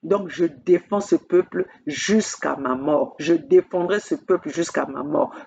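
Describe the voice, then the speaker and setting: A woman from Congo, addressing her camera as a political activist in an emphatic, repetitive way, halting often on fillers. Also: low-pitched, vocal fry, darkish tone, highs cut off, a little hiss or hum. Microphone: speaking to own camera.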